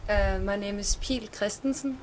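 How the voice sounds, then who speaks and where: A woman master's student from Denmark introducing herself hesitantly, with many pauses. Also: mid-range voice, a little hiss or hum. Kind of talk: prepared self-introduction.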